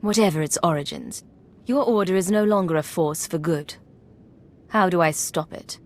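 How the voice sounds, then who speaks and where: An actress playing a composed, commanding intelligence agent in a video game, with a clipped, businesslike manner. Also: female voice, lowish voice, moderate pace, lightly acted. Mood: earnest.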